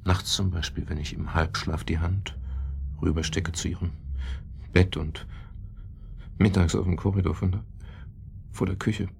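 Deep, gravelly, brooding widower. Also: male voice, fairly even intonation, darkish tone, a little hiss or hum. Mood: wistful. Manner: halting, repeats himself, sudden agitated outbursts. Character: grieving widower, brooding, doubting, bitter, obsessed with guilt. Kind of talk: German radio crime drama acting.

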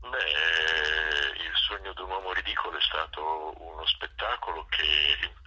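An older man with an extremely deep, rough-edged voice reflects in an interview. He takes his time, pausing often and repeating words as he searches for the next phrase. The sound is husky and unhurried. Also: bright, forceful tone, highs cut off. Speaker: theatre actor and director being interviewed. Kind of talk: reflective spoken interview answers.

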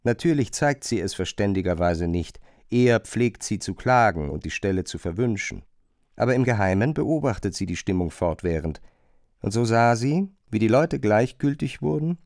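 A man narrating a literary radio drama in a measured, pointed, questioning manner. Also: on the low side, expressive, darkish tone, dry recording, clean studio sound.

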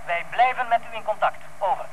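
A man's voice: high-pitched, fast talker, fairly bright tone. A man acting in a radio drama as an alert policeman giving clipped, procedural reports over the police radio. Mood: businesslike. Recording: faintly noisy police radio sound.

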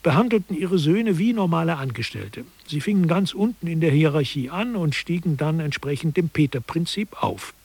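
A man's voice: fairly high pitch, lively intonation, normal speed, hardly any pauses, a little mellow, breathy edge, no room sound. A man playing an articulate lecturer who explains mock-scholarly theory in a scripted satirical radio play. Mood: explanatory. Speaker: narrator.

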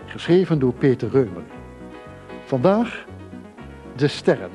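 A man's voice: medium pitch, very animated, measured pace, dark tone. A man announcing a scripted radio comedy drama as its introducer. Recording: theme music under announcement.

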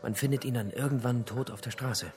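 An actor playing an investigator in a naturalistic radio drama, laying out findings in a dry, clipped way. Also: male voice, mid-range voice, a little fast, darkish tone, soft background music, lightly acted. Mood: brisk, grim recounting. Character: male investigator, dryly explaining his findings.

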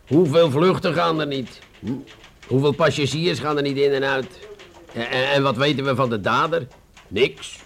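A man with a Netherlands Dutch accent playing a condescending, weary police inspector in a radio play, sardonic and deliberate, with stammered hesitations. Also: medium pitch, fairly bright tone, natural voice. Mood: deliberate, dismissive.

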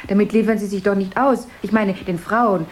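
A woman's voice: mid-range voice, fairly even intonation, normal speed, natural voice. A woman playing a chatty, flighty, deferential secretary in a radio play, rambling on and eager to placate. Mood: flustered, coy. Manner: rambling, babbling small talk, eager to placate. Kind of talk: 1970s German radio play acting. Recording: noisy 1970s radio drama recording.

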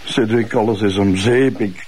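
A man with a Flemish accent acting a self-pitying man near collapse in a radio drama, halting and plaintive. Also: on the low side, steady tone, darkish tone, slight background noise, close to an everyday voice. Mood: despairing.

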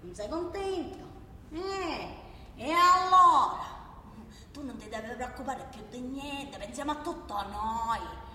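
A woman's voice: high voice, lively intonation, takes it slowly, bright, forceful tone, a little hiss or hum, heavily acted. A woman playing a bullying, mock-polite male Sicilian mafia boss in a satirical radio drama, menacing and wheedling, with frequent questioning interjections. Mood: mock-polite menace. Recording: echoey hall, distant mic, faint music.

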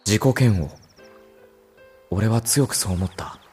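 A woman's voice: rumbling low, a little slow, darkish tone, soft background music. A woman playing an earnest, caring young man with casual boyish speech in a Japanese audio drama. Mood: dejected, reflective. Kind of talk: Japanese visual novel audio drama acting.

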